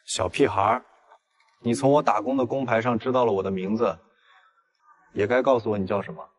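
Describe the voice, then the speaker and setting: Man acting a low-voiced, cool, restrained young man. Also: a little fast, husky. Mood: serious. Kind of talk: audio drama acting for animated comic.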